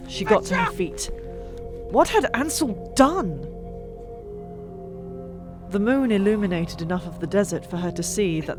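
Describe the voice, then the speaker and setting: Female audiobook narrator, lower register, dramatic fantasy storytelling with deliberate pauses, set over prominent music. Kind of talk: dramatic scripted fiction narration. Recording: music behind, older microphone.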